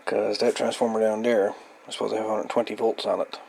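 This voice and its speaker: Man, gravelly fry, casual repair walkthrough, matter-of-fact, mid-pitched, slightly noisy camera audio. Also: little pitch movement, fast talker, a little mellow. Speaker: hobbyist radio repairer, presenter. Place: indoor workbench. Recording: noisy amateur camera recording.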